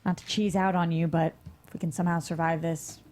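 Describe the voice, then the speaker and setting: A woman's voice: on the low side, flat delivery, fairly quick, darkish tone, natural voice. A woman playing a tough, guarded getaway driver in a crime comedy table read. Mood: guardedly friendly.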